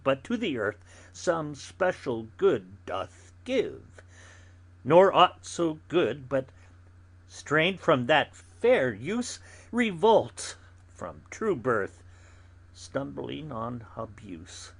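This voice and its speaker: A man performs an elderly friar in a classical verse drama. He speaks extremely slowly, savouring phrases with long pauses, then swelling with feeling. His delivery swings widely in pitch, fatherly, philosophical and gently chiding. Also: animated, gravelly, full character voice. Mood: musing, solemn. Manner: measured, deliberate, with dramatic pauses and sudden swells.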